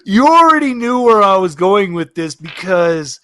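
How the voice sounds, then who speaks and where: A man narrating a tabletop roleplaying game as game master, casual, excitable and theatrical. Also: high voice, normal speed, no room sound, clean studio sound.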